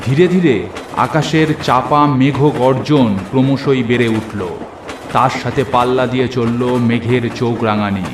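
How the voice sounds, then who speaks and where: A man's voice: medium pitch, steady tone, a little slow, echoey room, background noise. A man narrating a horror story in the first person, in a literary, suspenseful storytelling manner. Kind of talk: dramatic scripted horror narration.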